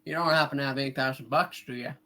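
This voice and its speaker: A man's voice: mid-range voice, fairly even intonation, fast talker, pushed and bright. A man playing a troubled, skeptical everyman in a hammed-up radio play reading, hesitant and folksy with stammered starts. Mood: sardonic. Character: troubled, skeptical, despairing everyman in old film.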